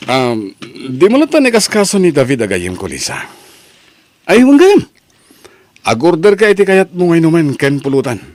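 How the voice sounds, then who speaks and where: A man playing a sociable, dutiful young adult son in an Ilocano drama, with an expressive manner. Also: a little high, moderate pace, pauses often, no room sound. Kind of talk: Ilocano radio-style drama acting.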